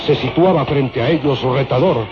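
A man's voice: mid-range voice, moderate pace. A man giving declamatory, dramatic scripted narration for an old-time radio serial. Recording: dull lo-fi radio recording, loud music.

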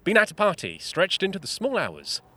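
An actor playing a flippant, jovial upper-class 1930s English gentleman full of period slang in an audio drama. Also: high voice, huge pitch swings, normal speed, no echo, exaggerated voice. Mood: breezy.

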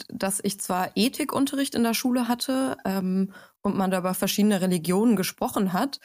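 Mellow-voiced woman podcast host. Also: lowish voice, no room sound, studio quality. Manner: friendly, curious questioning with fillers. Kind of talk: casual interview.

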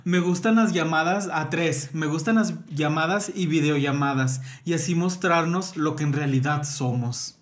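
A man's voice: on the high side, moderate pace, hardly any pauses. A man with a Mexican accent, chatting casually and playfully reading aloud as a podcast co-host.

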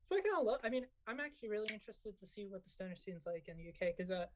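Soft-spoken raspy young man. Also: high voice, expressive, very fast, noisy background. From Mexico. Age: 20s. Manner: easygoing, agreeable, filler-heavy. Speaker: guest author. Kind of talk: casual chat.

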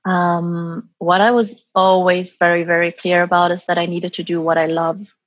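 A woman guest with a breathy voice who talks reflectively, full of "like" and "um", about her own path. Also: lowish voice, little pitch movement, normal speed, no echo, muffled recording. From Germany and Taiwan. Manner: reflective, many "like" and "um" fillers. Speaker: guest, former business consultant. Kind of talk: reflective interview answers.

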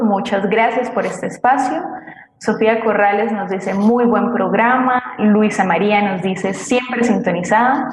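A woman co-hosting an online programme, reading audience comments aloud between short conversational links. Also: fairly high pitch. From Colombia. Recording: echoey room, faint background noise, clicks.

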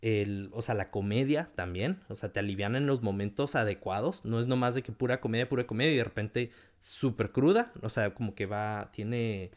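Man, Mexican accent, casual film talk, animated, quotes in raised voice. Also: lowish voice, normal speed, few pauses. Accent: Mexican Spanish.

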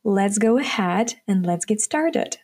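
A woman's voice: medium pitch, animated, measured pace, darkish tone, breathy edge. Upbeat nineteen-year-old woman hosting. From Ukraine. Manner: chatty and upbeat, addressing listeners as guys. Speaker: podcast host. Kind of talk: casual solo podcast monologue.

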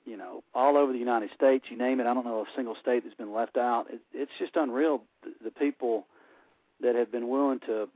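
A man's voice: mid-range voice, fairly even intonation, a little fast, dry recording. A man with an Alabama Southern accent, calling in and chatting casually on a radio show, folksy and full of fillers. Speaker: caller co-hosting the interview. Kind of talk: casual radio phone chat. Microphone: telephone. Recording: dry, tinny phone line.

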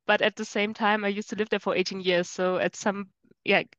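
A woman being interviewed over a video call, speaking thoughtfully with hesitant pauses and fillers. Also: medium pitch, flat delivery, a bit bright, no room sound. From Germany. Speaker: guest. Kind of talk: casual interview chat. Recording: muffled dry video call.

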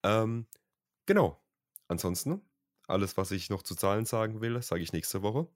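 A young man co-hosting a podcast, sounding slightly nasal from a cold and talking in a relaxed, drawn-out way. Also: on the low side, dry recording, studio quality. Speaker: podcast co-host. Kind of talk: casual podcast chat.

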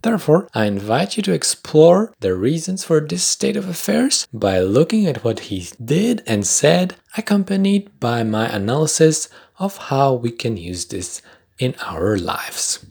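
Young polish guy doing a scripted podcast, accented english, raspy-ish voice, pitch moves around a lot. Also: sing-song, unhurried, darkish tone. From Poland. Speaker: podcast host. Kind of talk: scripted reflective narration with commentary.

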